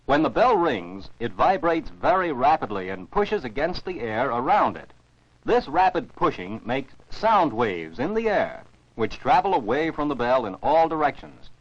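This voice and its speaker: A man's voice: medium pitch, big pitch swings, moderate pace, dry recording. An American man narrates scripted science for children as an educational film narrator, in a didactic, carefully enunciated way. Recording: dull, dry 1949 film soundtrack.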